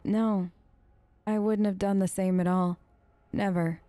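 A woman's voice: medium pitch, fairly even intonation, a little slow, mellow, no echo. A woman doing casual, chatty role-play commentary as a let's play host. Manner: chatty, conversational.

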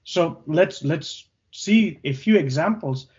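A man's voice: on the high side, animated, slow pace, a little mellow, lo-fi sound. A man around forty from Bangladesh, a native Bangla speaker with a Bangladeshi accent, answering interview questions about his career. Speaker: podcast guest, tech co-founder.

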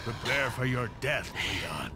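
Grim game mercenary, menacing, taunting, mid-pitched, loud score behind. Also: male voice, expressive, slow pace. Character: menacing, power-mad male mercenary villain. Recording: loud music over dialogue.

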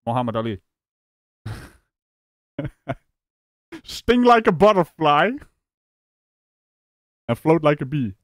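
Male host from the Netherlands, mocking and lively, on a dry close mic. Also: a little high, slow pace, pauses often, dry recording. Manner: animated, mocking, laughs often. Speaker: streamer host. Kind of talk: live reaction commentary.

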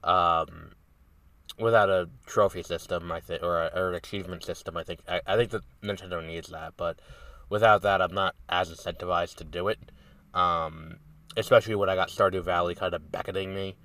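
A man's voice: deep voice, steady tone, a little fast, bright, forceful tone, no echo, recorded from a distance. A man hosting a podcast while sick with a cold, sounding nasal and congested, rambling casually with lots of ums and restarts. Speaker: podcast host. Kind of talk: casual solo review talk.

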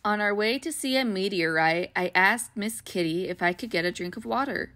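A woman reading a children's picture book aloud in a gentle, expressive, child-friendly way. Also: medium pitch, moderate pace, dry recording, clean studio sound. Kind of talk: storybook read-aloud.

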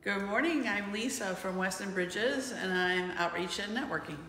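A woman's voice: medium pitch, normal speed, a bit bright, slight background noise. A middle-aged woman telling a history as an interview guest in a large room indoors. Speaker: guest, outreach and networking representative. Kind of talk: explanatory interview storytelling. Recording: echoey reverberant room.

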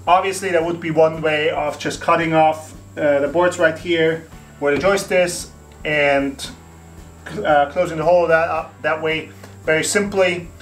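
A man's voice: fairly high pitch, fairly even intonation, measured pace, a bit bright, soft background music. A man in his thirties hosting a home renovation tutorial, explaining steps casually with fillers and restarts in an empty wooden attic room.

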